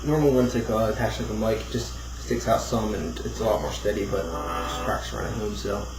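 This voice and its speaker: Low-voiced man rambling in an echoey room. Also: a little fast. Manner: rambling, thinking aloud. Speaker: hobbyist demonstrating a homemade build. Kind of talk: casual unscripted demo talk. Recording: roomy echo, clear background noise.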